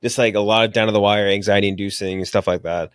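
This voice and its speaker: A man's voice: lowish voice, fairly even intonation, quick pace, smooth, dry recording, studio quality. A man hosting a podcast, chatting casually and bluntly about films in an informal way. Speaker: podcast host reviewing movies. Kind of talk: casual movie-review chat.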